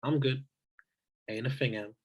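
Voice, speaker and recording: A man's voice: mid-range voice, steady tone, measured pace, breathy edge. A man playing a guarded, weary brother in a drama table read, speaking tersely in casual slang. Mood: guarded, dismissive. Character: guarded, weary brother, stubborn and self-reliant. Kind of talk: scripted table read acting.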